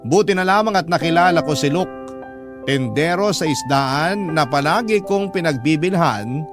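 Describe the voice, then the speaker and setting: A man's voice: a little high, expressive, moderate pace, over music. A man reading a scripted first-person love story as a radio narrator.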